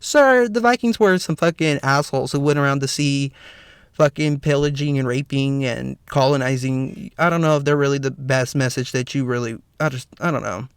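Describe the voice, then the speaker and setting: A slightly hoarse man with a raspy voice hosts a solo podcast, talking earnestly with lots of "you know" fillers. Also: mid-range voice, normal speed, no room sound, clean studio sound. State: slightly hoarse, clearing throat. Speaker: podcast host. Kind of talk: solo podcast commentary, partly read from notes.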